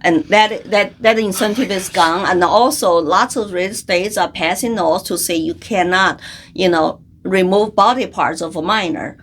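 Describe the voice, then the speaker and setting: A woman's voice: mid-range voice, measured pace. Earnest woman from China, many fillers. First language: Chinese. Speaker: guest, congressional candidate. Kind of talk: interview conversation.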